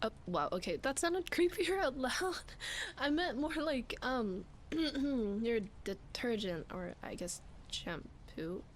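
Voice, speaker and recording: A woman's voice: a little high, lively intonation. A woman acting as a sleepy, flustered young roommate in an intimate roleplay ASMR, murmuring hesitantly and often whispering, shy and playful. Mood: tired, shyly affectionate. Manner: hesitant, halting, breathy murmuring with sighs. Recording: clear background ambience noise. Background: rain ambience.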